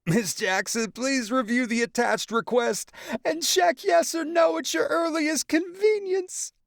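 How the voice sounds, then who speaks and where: A man's voice: extremely high pitch, a little slow, vocal fry, fairly bright tone, no room sound, clean studio sound, pitched above the performer's own voice, exaggerated voice. A man voicing a young brother mocking his sibling while laughing hysterically. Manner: shrieking, mocking.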